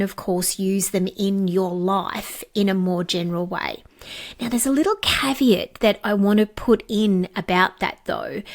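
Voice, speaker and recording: A woman hosting a solo podcast on coaching, slightly raspy and blocked up from a cold, speaking with effusive praise and casual fillers. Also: mid-range voice. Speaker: podcast host and coaching trainer.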